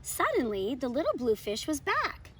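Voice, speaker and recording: Female host for children's storybook lessons: upbeat, very expressive pitch, simple friendly delivery. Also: normal speed, slight background noise. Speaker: host of a children's read-aloud channel. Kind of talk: upbeat lesson intro and storybook read-aloud.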